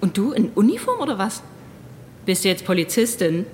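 An actress playing a cheeky, no-nonsense woman working on a hospital ward in a radio drama, brisk and colloquial. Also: mid-range voice, huge pitch swings, slight background noise. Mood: cheeky, curious. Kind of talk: German radio drama acting.

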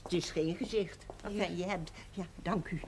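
A woman's voice: fairly low pitch, fairly even intonation, normal speed, lightly acted. An actress playing a practical, bossy village woman, blunt and teasing, in a television period drama. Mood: teasing, brisk. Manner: blunt, prompting, correcting. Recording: faintly noisy old television soundtrack.

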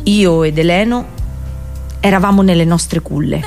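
An actress playing an accursed princess and prophetess in a radio drama monologue, with a solemn, intense storytelling delivery. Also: female voice, fairly low pitch, normal speed, a little mellow, natural voice. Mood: wistful narrating. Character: Cassandra, bitter accursed Trojan princess and prophetess. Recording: voice over background music. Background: music.

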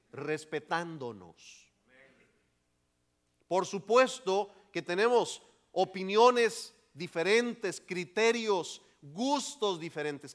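A man preaching a sermon as pastor, swelling into emphatic lines and then dropping to quiet asides. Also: sits high.

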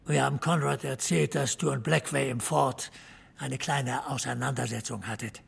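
A man's voice: mid-range voice, steady tone, normal speed, gravelly, slightly rough, exaggerated voice. An actor playing a dry, laconic small-town drinking buddy who needles his friend in a radio drama. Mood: dry teasing.

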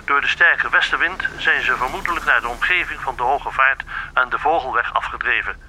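Dutch coastguard dispatcher over noisy radio. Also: male voice, mid-range voice, brisk, bright, forceful tone. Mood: formal, factual. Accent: Netherlandic Dutch. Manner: formal, factual. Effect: scanner radio. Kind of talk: formal scripted announcement. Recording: noisy radio scanner sound.

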